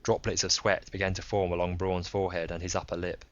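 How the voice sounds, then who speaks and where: A man's voice: sits low, little pitch movement, fast, no room sound. A man narrating a science fiction audiobook as a scripted reading. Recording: slightly dull close dry recording.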